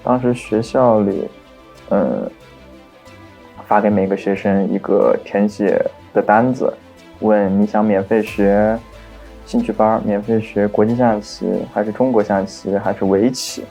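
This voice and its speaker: A man from China, a chess player calmly reminiscing about his childhood in an interview. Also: lowish voice, unhurried, faint music behind. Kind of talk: documentary interview.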